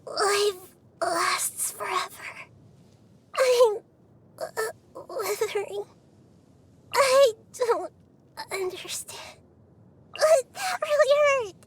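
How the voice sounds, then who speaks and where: A woman voicing a gentle, curious childlike goddess of wisdom for a video game, with a small girlish voice and thoughtful, earnest phrasing. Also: very high-pitched, extremely slow, pauses often, exaggerated voice. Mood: pained, fading. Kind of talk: video game character voice lines.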